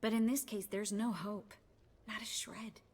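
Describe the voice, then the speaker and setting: An actress playing a guarded, world-weary sorceress in a video game, speaking in a soft, breathy, wry and measured way. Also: female voice, medium pitch, fairly even intonation. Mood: grim, earnest. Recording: long reverberant hall.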